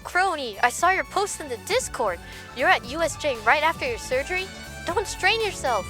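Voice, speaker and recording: A woman acting a caring young female friend with an earnest, fretful manner in an animated fan drama. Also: high voice, moderate pace, music in the background. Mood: worried.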